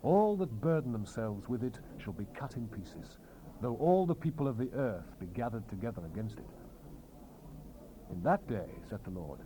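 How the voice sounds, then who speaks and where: A man reads scripture as solemn prophetic oratory, his pitch rising and falling widely for dramatic weight. His voice sits at a medium male pitch. The sound is a hissy seventies cassette transfer with faint ambience behind him. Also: mellow, rough recording. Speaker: scripture narrator. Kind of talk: dramatized King James Bible reading.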